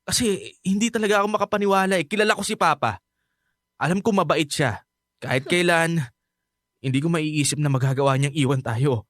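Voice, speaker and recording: A man's voice: fairly high pitch, fairly quick, long pauses, fairly bright tone, no echo, clean studio sound, close to an everyday voice. An actor with a Filipino accent playing an emotional, pleading teenage son in a radio drama, stammering through his lines. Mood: pleading disbelief. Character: distraught teenage son refusing to believe his father left.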